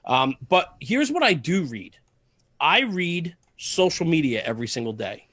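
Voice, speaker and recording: A man's voice: fairly high pitch, very animated, measured pace, fairly bright tone, no echo, clean studio sound. A man speaking as a podcast guest in casual conversation, earnest and emphatic, repeating phrases to drive a point home. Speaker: podcast guest, fire service media host. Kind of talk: casual podcast interview, passionate monologue.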